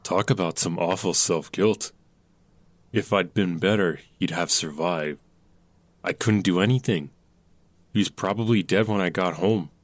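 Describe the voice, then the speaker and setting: A man's voice: deep voice, unhurried, pauses often, no room sound. An actor playing a young man who doubts his own songwriting, who speaks in a self-deprecating, frustrated way and mutters to himself in an audio drama. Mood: dejected.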